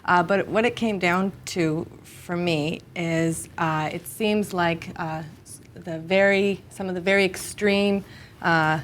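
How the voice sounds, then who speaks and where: A woman's voice: lowish voice, steady tone, takes it slowly, slight background noise. A woman from Canada speaking casually as a studio guest, hesitating as she searches for her words. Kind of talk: casual interview chat.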